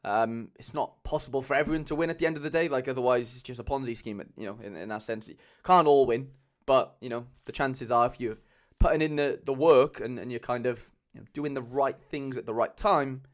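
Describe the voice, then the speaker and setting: A young man with a Cardiff accent chatting casually as a livestream host, loose and rambling with lots of fillers, speaking into a mic on a boom arm at home. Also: a little fast, hardly any pauses. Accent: Cardiff Welsh. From Wales. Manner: chatty, loose, many fillers, calls viewers lads.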